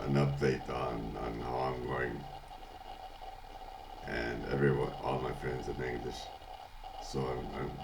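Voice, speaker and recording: Older man, very deep and congested. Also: background noise. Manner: halting, many restarts and fillers, chuckles often. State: congested, hoarse from illness. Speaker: vlogger. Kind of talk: casual video diary. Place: at home, early morning.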